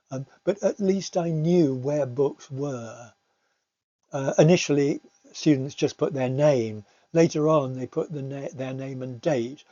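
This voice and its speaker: A man presents slides in an informal, explanatory way, like a teacher. His voice is husky and soft-edged, and he often pauses as he searches for words. The recording is dull and roomy. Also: on the high side. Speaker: language teacher giving a talk. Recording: muffled, roomy home recording.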